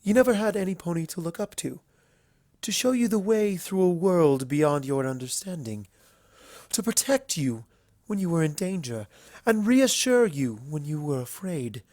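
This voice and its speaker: An earnest, refined female unicorn pony acted out by a woman in a storybook tale. Her voice is lifted high and carries formal, eloquent phrases with solemn, impassioned feeling. The sound is dry, with no room to it. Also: expressive, normal speed, warm tone, no echo.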